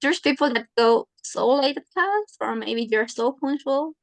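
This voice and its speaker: Slow, giggly teenage woman. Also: high-pitched, lively intonation, no echo. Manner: chatty, giggly, teasing. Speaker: university student, interviewee. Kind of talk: casual conversation practice.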